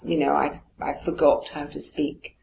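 A woman's voice: low voice, level tone, a little slow, creaky, a little mellow, slight background noise, rough recording. A woman poet who grew up speaking Farsi, answering interview questions in a musing, hesitant way with light laughs. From Iran. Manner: musing and hesitant, many "you know" fillers, light laughs.

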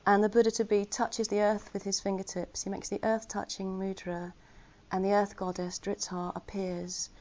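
A woman's voice: medium pitch, flat delivery, moderate pace, few pauses, darkish tone. Earnest, chatty woman giving a Dharma talk. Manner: chatty and earnest, with self-deprecating humour. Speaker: Buddhist order member giving a talk. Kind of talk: informal Dharma talk to a gathering. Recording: slightly muffled recording.

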